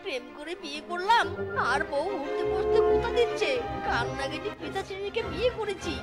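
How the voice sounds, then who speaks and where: A woman voicing a boastful, lovestruck male fox husband with a quick temper in a children's cartoon, exclamatory and melodramatic. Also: extremely high pitch, lively intonation. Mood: miserable. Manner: exclamatory, melodramatic, pleading and scolding. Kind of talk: children's cartoon story dubbing. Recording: loud children's music behind voice.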